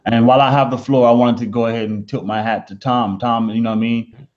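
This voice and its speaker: A man calling into a live stream, speaking formally and haltingly with many restarts. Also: medium pitch, fairly even intonation, a little mellow. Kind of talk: casual live-stream discussion. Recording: dull lo-fi call audio.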